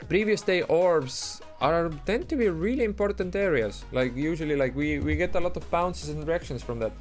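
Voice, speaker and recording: Estonian-accented man chatting over soft music. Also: fairly high pitch, expressive, moderate pace. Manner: loose, chatty, reading out chat messages, many restarts and fillers. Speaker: live stream trader. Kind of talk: casual live-stream commentary.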